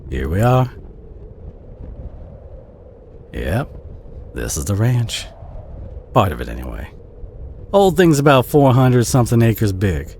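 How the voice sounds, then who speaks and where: A man with a Southern US accent playing a kind, protective, bashful country boy in an audio roleplay, speaking in a gentle, folksy, hesitant way with a husky voice. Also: on the low side, big pitch swings, measured pace, long pauses, slight background noise, exaggerated voice. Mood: easygoing. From southern United States. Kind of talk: audio roleplay acting, addressed to the listener.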